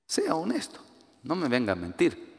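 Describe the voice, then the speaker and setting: A man's voice: a little high, normal speed, vocal fry, in a large hall. A man from Guatemala with a Guatemalan Spanish accent, preaching a sermon with personal stories and acting out the voices he quotes. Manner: animated, rises to emphatic peaks, acts out quoted voices.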